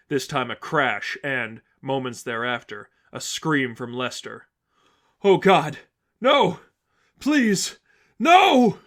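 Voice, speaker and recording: A man's voice: mid-range voice, fairly bright tone. A man reading fan fiction aloud as dramatic scripted narration.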